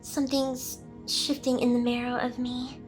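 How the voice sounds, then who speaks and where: A woman's voice: sits high, completely flat delivery, normal speed, soft background music, natural voice. A woman playing a smug, seductive, possessive demon boss in an ASMR roleplay, with a teasing, theatrical delivery. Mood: intense, vulnerable.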